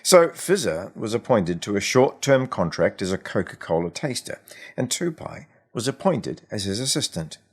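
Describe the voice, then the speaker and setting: A man, an author reading a story aloud in an expressive storytelling style. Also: fairly low pitch, normal speed, slightly rough. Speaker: author reading from his own book.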